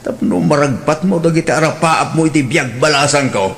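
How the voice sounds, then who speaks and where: A man's voice: on the high side, a little fast, fairly bright tone, slight background noise. A man acting a blunt, grumbling older brother in an Ilocano radio drama, with an Ilocano accent. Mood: probing.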